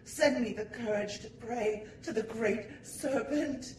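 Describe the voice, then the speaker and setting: A woman's voice: fairly high pitch, takes it slowly, darkish tone. A woman playing a devout, anguished woman in prayer, speaking solemnly and theatrically in a gothic audio drama. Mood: anguished pleading. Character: devout, anguished woman praying to a departed companion. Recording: distant, echoey, noisy amateur recording.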